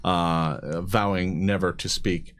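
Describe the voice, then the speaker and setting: Halting man running a tabletop game. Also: low-pitched, steady tone, a bit bright. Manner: halting, repeats words, chuckles. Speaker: game master. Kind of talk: improvised tabletop game narration.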